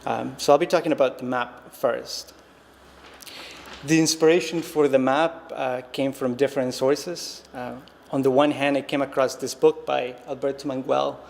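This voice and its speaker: Spanish-speaking guy from costa rica, 30s, artist talk, um-heavy and reflective, flat even tone, faint hiss in a big echoey hall. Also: medium pitch, normal speed, creaky. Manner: reflective, hesitant with frequent ums and restarts. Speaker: visiting artist. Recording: long-reverb hall, faint noise.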